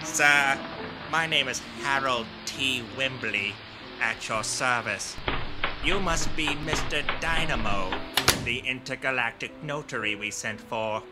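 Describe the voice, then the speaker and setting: A man's voice: mid-range voice, takes it slowly, over loud music, lightly acted. A man playing an eccentric old butler in a comedic horror audio drama, formal and fussy, drifting into unhinged crooning. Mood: formal, grandiose. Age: older. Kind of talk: comedic horror radio-play acting.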